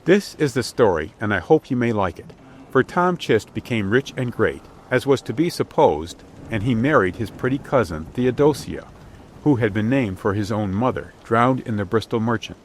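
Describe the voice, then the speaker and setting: A man's voice: mid-range voice, animated, normal speed, slight background noise. A man narrating an old adventure storybook in a scripted, dramatic reading style. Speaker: audiobook narrator. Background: outdoor ship ambience.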